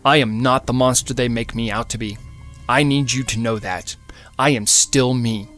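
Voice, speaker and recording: A man's voice: mid-range voice, fairly even intonation, normal speed, a bit bright, natural voice. A young male fugitive, played by a man reading an audiobook, speaks in a mid-range voice. He is urgent and insistent, with a cocky, dismissive edge. Music plays behind him. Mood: earnest.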